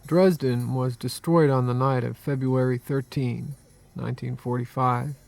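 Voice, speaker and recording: Slow, gravelly, haunted survivor. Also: male voice, mid-range voice, fairly even intonation, darkish tone. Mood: numb recollection. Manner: slow, hesitant, understated. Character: haunted, subdued American war survivor recalling horrors. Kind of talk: radio drama acting.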